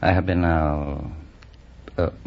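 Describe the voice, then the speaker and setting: Man, late 30s, Spanish accent, very deep voice, earnest and halting, muffled old radio sound. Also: fairly even intonation. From Spain. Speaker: quiz contestant. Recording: muffled 1950s radio transcription.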